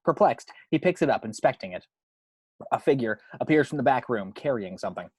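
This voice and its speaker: A man's voice: mid-range voice, expressive, brisk, a little mellow, breathy edge, no room sound. A man reading screenplay action and scene headings as narrator for a table read at home. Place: at home, dry close recording.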